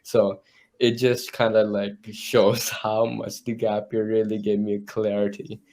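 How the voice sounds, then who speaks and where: A young man from India, a university student, chatting in a relaxed way with easy laughs on a video call. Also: on the low side, measured pace. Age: young adult. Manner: relaxed, laughs easily, loose phrasing. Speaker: panel guest, student. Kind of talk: casual chat.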